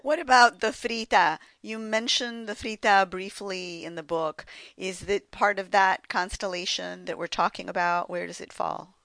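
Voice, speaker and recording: A woman's voice: mid-range voice, moderate pace, creaky, few pauses, a bit bright, no echo. A woman hosting a radio interview, asking curious, prompting questions. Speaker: radio host.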